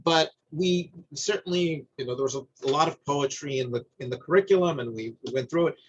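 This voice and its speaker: A middle-aged man from New Jersey talking in a thoughtful, halting way, often repeating words as he searches for them. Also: a little high, lo-fi sound, slight background noise. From New Jersey, USA. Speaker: guest. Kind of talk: reflective conversation.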